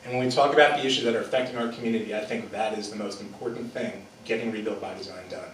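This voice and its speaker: A man's voice: lowish voice, fairly even intonation, rapid-fire, a little hiss or hum. Male candidate, earnest filler-laden speech, distant, reverberant hall. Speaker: political candidate. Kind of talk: opening statement at a debate. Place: theater stage before a live audience. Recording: echoey theater from far off.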